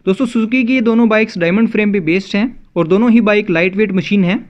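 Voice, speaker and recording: A man's voice: sits high, fast talker. An Indian man narrating a scripted video as a motovlog host, addressing viewers as friends in a dull, slightly lo-fi voice-over.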